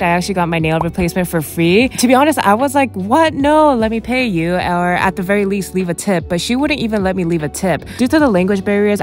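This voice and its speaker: A woman in her twenties narrating a casual travel vlog in a chatty, upbeat storytelling way. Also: on the low side. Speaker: vlogger.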